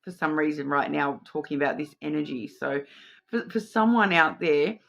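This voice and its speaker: A woman's voice: deep voice, steady tone, moderate pace, gravelly, a bit bright. A middle-aged Australian woman with an Australian accent, giving an intuitive card reading to camera with lots of ums and restarts. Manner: rambling, many ums and restarts. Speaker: tarot card reader.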